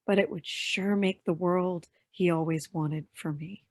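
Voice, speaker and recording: A woman's voice: fairly low pitch, steady tone, unhurried, dry recording, clean studio sound. A woman playing a bitter, defiant young henchwoman in a staged play reading. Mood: cold, resentful.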